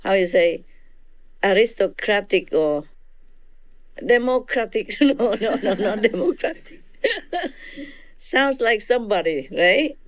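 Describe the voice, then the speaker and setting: A woman's voice: medium pitch, very animated, slow, long pauses, rough recording. A woman with a Vietnamese accent giving an informal teaching to disciples. She laughs often and keeps checking in with her listeners. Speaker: spiritual teacher.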